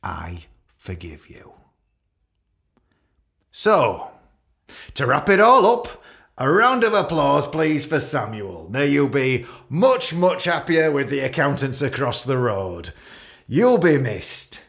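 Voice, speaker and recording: Casting: middle-aged British senior policeman for radio play; pompous, self-justifying, very animated pitch swings. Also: gravelly, heavily acted. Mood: self-justifying, smug, then bitter, mock-gracious. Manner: pompous, passive-aggressive, self-justifying, halting.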